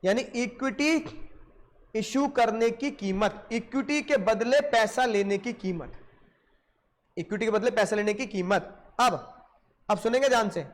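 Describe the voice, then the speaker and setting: Male lecturer, very high-pitched, sore throat, addresses students directly and drives points home through repetition. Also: measured pace, pauses often. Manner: repeats phrases for emphasis, addresses students directly. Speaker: commerce teacher. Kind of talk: animated classroom lecture in Hindi-English mix.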